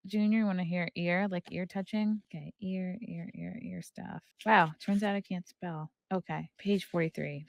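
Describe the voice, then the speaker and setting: Creaky-voiced woman, irreverent podcast host. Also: on the low side, fairly even intonation, moderate pace, hardly any pauses, dry recording. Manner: irreverent, playful, with flippant asides. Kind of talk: casual live chat, reading aloud from a book with commentary.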